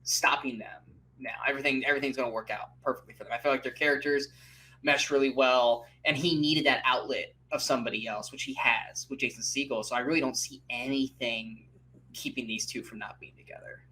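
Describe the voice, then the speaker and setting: A man co-hosting a casual movie debate podcast, animated and loose, with many fillers, laughs and the odd swear. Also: medium pitch, brisk, a little hiss or hum, rough recording. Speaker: podcast co-host.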